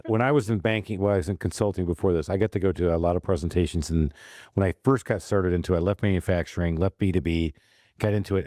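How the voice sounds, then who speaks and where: A man's voice: low voice, no room sound, clean studio sound. A man hosting a business podcast, chatting casually and joking with his guest and co-host. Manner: lively, joking, quick interjections. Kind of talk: casual chat.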